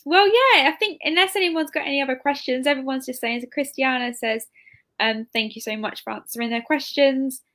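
A woman's voice: high-pitched, fairly quick, a bit bright. A woman in her twenties hosting a casual live interview over a video call, chatty and effusive. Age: 20s. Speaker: interviewer, bookshop event host. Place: indoor room at home, on a video call.

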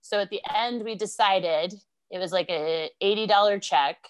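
A woman's voice: medium pitch, normal speed, clean tone, no room sound. American woman chatting on a podcast, laughs a lot, dry close sound. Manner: laughs often, animated storytelling. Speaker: podcast guest. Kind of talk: casual chat.

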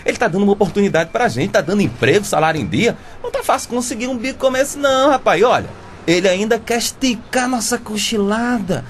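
A man's voice: high voice, lively intonation, fast, slight background noise. A man playing a naive, stubborn Brazilian bricklayer in a comic radio drama, speaking in a folksy, simple-minded way. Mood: trusting. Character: naive, stubborn, easygoing working-class bricklayer husband.

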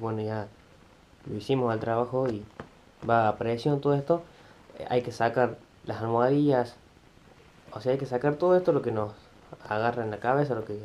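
Male, Argentine accent, casual motovlogger explaining step by step, haltingly; dull lo-fi recording. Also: mid-range voice, dark tone, a little hiss or hum. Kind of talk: casual hands-on tutorial. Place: room at home, indoors. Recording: dull, lo-fi sound.

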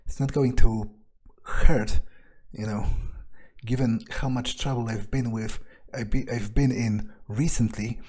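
A man's voice: mid-range voice, fairly even intonation, a little slow, a little mellow, slightly rough. A man roleplaying as he plays a game, thinking aloud in first person with casual swearing. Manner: musing, sweary. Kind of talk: in-character first-person musing over gameplay.

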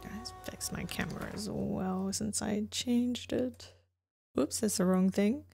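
An Australian woman chatting excitedly and swearing casually as she streams a game. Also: mid-range voice, slow pace, gravelly, faint music behind. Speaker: gaming streamer. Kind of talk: casual let's-play chat.

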